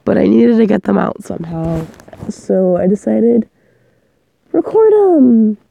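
A woman's voice: medium pitch, animated, unhurried, vocal fry, dark tone, muffled recording. A young woman who is sick and coughing, rambling casually about her work as an audio performer and often losing her train of thought.

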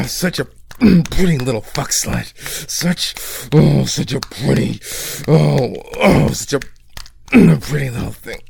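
A man performing an intimate roleplay monologue to a listener, breathy and full of moans and exclamations. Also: mid-range voice, a little mellow, slight background noise. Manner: breathy, moaning, heavy exclamations.

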